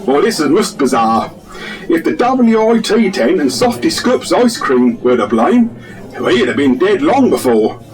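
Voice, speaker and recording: A man acts an officious village policeman in a comic radio drama. He speaks formally in a high, very animated male voice, with faint music behind. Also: a little slow, rough recording. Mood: officious, inquiring.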